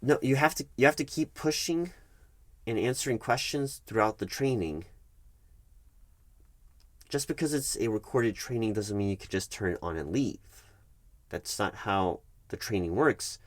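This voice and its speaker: A man playing a stern but patient HR manager in an ASMR roleplay, speaking formally and haltingly, repeating words for emphasis. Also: fairly low pitch, animated, dry recording, natural voice. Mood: firm, exasperated. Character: stern, patient male HR manager reprimanding an employee. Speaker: recruiting HR manager. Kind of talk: one-sided ASMR roleplay of a work meeting.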